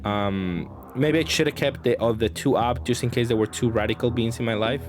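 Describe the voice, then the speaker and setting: A man's voice: mid-range voice, brisk. Man thinking aloud, music behind. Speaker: card game player commentating on own matches. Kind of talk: casual gameplay commentary.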